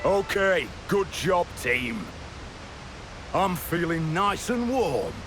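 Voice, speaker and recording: A man playing a wry, laid-back seasoned warrior in a video game cutscene, measured in manner. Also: high voice, sing-song, over music, heavily acted. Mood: easygoing.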